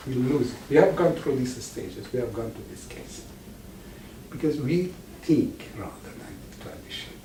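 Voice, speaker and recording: A man whose first language is Farsi gives an informal lecture to an audience, speaking haltingly and often restarting words. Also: medium pitch, animated, warm tone, a little hiss or hum. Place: lecture room with live audience. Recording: echoey room, mic far off.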